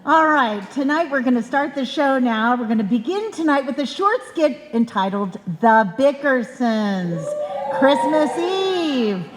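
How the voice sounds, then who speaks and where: A woman's voice: high voice, animated, unhurried. A woman host introducing a live show from the stage. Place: indoor stage before a live audience. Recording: reverberant hall with audience noise.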